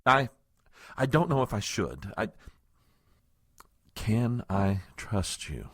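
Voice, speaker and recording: A man acts out a flustered, self-important political aide in an audio comedy. His husky voice leaps up and down in pitch as he stammers through stiff, formal protests. The recording is close and dry. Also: very animated, takes it slowly, fairly bright tone, no room sound, exaggerated voice. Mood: anxious, secretive. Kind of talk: comedic audio drama acting.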